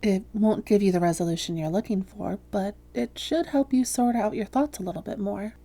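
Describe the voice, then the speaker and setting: A woman playing a calm female vampire therapist in a roleplay audio, speaking softly, gently and in a measured, reassuring way. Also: mid-range voice, lightly acted. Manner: measured, gentle, reassuring, breathy hushed phrases. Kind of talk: soft-spoken roleplay audio, therapist addressing listener.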